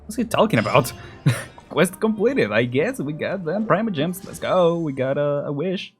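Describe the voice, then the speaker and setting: A man's voice: on the high side, sing-song, normal speed, soft background music. A man giving casual, slangy playthrough commentary as a gaming host, with informal muttered reactions.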